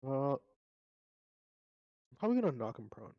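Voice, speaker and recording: A man's voice: medium pitch, sing-song, normal speed, no room sound. A man chatting casually as a tabletop game player, tentatively thinking his moves through aloud. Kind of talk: casual chat. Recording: dry, slightly muffled voice mic.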